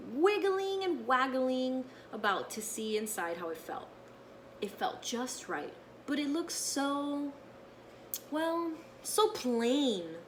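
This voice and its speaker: A woman with a middle-range voice reads and chats to a class of children. She explains in simple, casual phrases and often tags them with a checking question. The recording carries noticeable ambient noise. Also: medium pitch. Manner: frequent checking tags like okay and right. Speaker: teacher reading a picture book aloud to her pupils.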